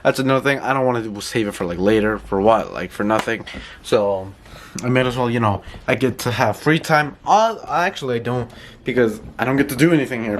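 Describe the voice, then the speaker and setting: A man's voice: medium pitch, slight background noise. Congested teenage boy vlogging at home. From New York. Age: teens. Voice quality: congested, nasal. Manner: rambling, filler-heavy, self-conscious. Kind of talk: casual solo vlog. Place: home, indoors.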